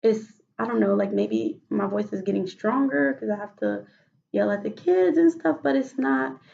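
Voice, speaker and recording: A woman with a raspy voice answering questions as a patient in a role-played clinical interview, hesitant with many fillers and restarts. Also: medium pitch, very animated, normal speed, a little mellow, highs cut off. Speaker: mock patient in a role-play case study.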